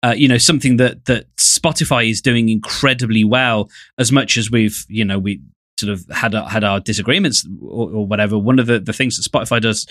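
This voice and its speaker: A man's voice: fairly low pitch, steady tone, fairly quick, fairly bright tone, no room sound, clean studio sound. A man with an English accent co-hosting a podcast, talking casually in a wordy, jokey way. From England. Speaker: podcast co-host.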